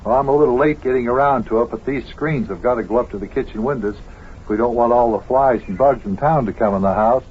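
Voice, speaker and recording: A man's voice: mid-range voice, quick pace, close to an everyday voice. An actor playing a good-natured, patient man of the house in an old-time radio show, speaking plainly and mildly. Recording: noisy, dull old radio recording.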